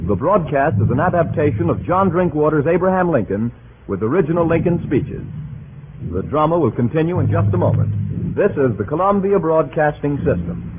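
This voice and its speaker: A man's voice: on the high side, lively intonation, moderate pace. A man announcing formally in the style of 1930s network radio. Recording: tinny, hissy old radio broadcast.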